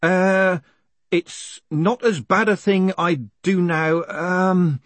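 Guy doing a doomed conman in a storybook, stammery, stalling, a bit haughty, pitched up, husky. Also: very animated, normal speed, dry recording. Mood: stalling, nervous. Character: condemned male swindler, stalling and hesitant, haughty.

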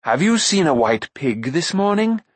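A man's voice: fairly high pitch, very animated, measured pace, husky, dry recording, pitched above the performer's own voice, heavily acted. A man voicing a stern, commanding warrior prince who speaks firmly and with impatience. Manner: firm, severe, impatient.

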